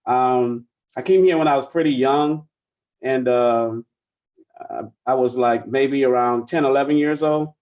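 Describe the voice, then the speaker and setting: A man in his forties, born in the Bahamas, chatting casually as a musician guest in an interview, relaxed and rambling with plenty of fillers. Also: mid-range voice, measured pace, muffled recording. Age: 40s.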